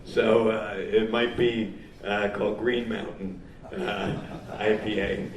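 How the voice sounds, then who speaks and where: A man from New England hosting a homebrew competition, announcing the winners in a halting, informal speech. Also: lowish voice, fairly even intonation. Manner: halting, with frequent pauses. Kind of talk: informal speech at a live event. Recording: echoey room, mic far off, faint noise.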